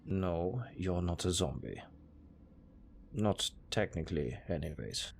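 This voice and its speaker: Man acting a deep, gravelly, deadpan German-accented necromancer. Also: a little hiss or hum, full character voice. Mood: matter-of-fact, explaining. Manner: deadpan, condescending, unhurried. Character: cold, dryly matter-of-fact male necromancer master. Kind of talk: audio roleplay acting.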